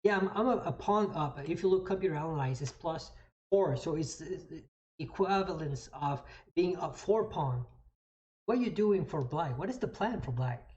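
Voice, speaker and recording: A chess streamer from Canada with a French Canadian accent talks through moves in a mid-pitched voice. The delivery is casual and halting, with phrases repeated as thoughts form. The sound is a little dull and lo-fi. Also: lively intonation, darkish tone. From New Brunswick, Canada. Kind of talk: casual live commentary explaining moves.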